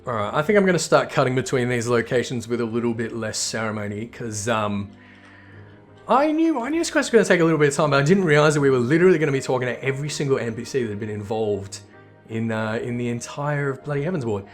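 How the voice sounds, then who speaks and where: A man's voice: medium pitch, expressive, quick pace. Male game streamer, mid-range voice, casual commentary over music. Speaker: game streamer reading quest dialogue aloud.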